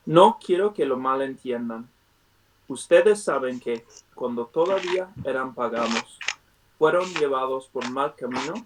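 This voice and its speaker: Joven que habla español de españa, no es su lengua nativa, guía la oración por videollamada, suena lejos del micro. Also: male voice, mid-range voice, a bit bright. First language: not Spanish. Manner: hesitant, many fillers and restarts. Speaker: Bible study leader. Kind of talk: prayer and Bible study on a video call. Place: at home on a video call. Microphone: computer mic on a video call. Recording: video call audio from far off the mic.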